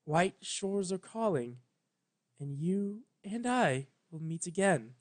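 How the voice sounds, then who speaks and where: A man voicing an ancient regal female pony princess, tender, proud and sorrowful, speaking solemnly and haltingly. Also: high voice, animated. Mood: tender, tearful.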